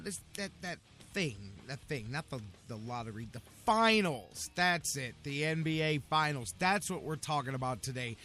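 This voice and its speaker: A man with a gravelly, sleep-short voice hosting a sports talk radio show, energetic and rambling with catchphrases. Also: on the high side.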